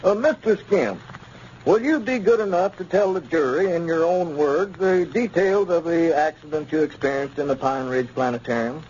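An actor playing a formal, pressing small-town lawyer in a 1930s radio comedy, questioning deliberately. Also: male voice, a little high, a little mellow, a little hiss or hum, close to an everyday voice. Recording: muffled 1930s radio recording.

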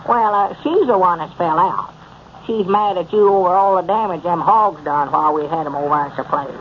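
An actor playing a folksy, evasive old country storekeeper with a rural Southern accent and a hesitant, rambling manner in a 1930s radio comedy. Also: male voice, sits high, brisk, close to an everyday voice. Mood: sheepish, evasive. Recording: muffled, noisy 1930s radio recording.

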